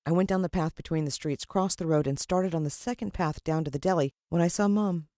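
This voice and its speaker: A woman's voice: fairly low pitch, a little fast, dark tone, dry recording, studio quality. A woman with an American accent reading a children's story expressively as an audiobook narrator.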